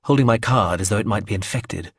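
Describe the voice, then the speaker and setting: A man narrating a first-person story aloud in a measured, wry way. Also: lowish voice, gravelly, husky, dry recording, clean studio sound.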